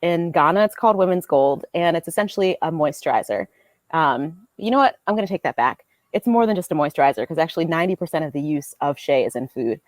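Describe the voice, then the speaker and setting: A woman's voice: low voice, expressive, fast, no room sound. A young American woman talking casually as a podcast guest, chatty with lots of fillers. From United States. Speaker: guest business owner.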